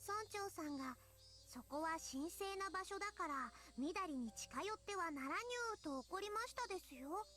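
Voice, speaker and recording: A sweet little anime schoolgirl, extremely high and quick, full of childlike cutesy tics. It is visual novel game dialogue with music softly behind. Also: animated, full character voice. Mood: innocent, puzzled. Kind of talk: Japanese visual novel voice acting.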